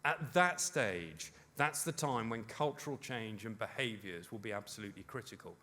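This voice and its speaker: A middle-aged man speaking on a conference panel in a measured, deliberate way. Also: lowish voice, animated, pushed and bright. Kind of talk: conference presentation. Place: conference hall.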